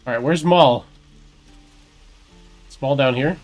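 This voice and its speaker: A man's voice: mid-range voice, slow, faint music behind. A man doing casual video game playthrough commentary, muttering to himself as he plays. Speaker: gaming streamer.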